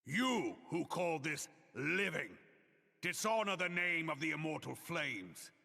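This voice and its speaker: An actor playing a fierce, proud general who gives slow, solemn, declamatory speeches to rally a nation in a video game. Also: male voice, on the high side, expressive, vocal fry, bright, forceful tone, exaggerated voice. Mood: indignant. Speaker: military leader giving a rallying speech. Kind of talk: theatrical oratory. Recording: long reverberant hall echo.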